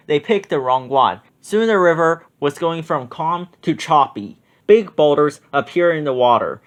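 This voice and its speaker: Expressive male children's storybook reader. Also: fairly high pitch.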